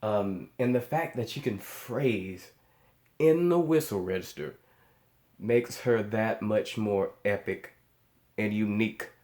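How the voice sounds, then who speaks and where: A man's voice: on the low side, takes it slowly, a little mellow, reverberant. A man giving casual, off-the-cuff reaction commentary as a host, speaking with amazed emphasis and often repeating his words and phrases. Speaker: host of a music reaction channel.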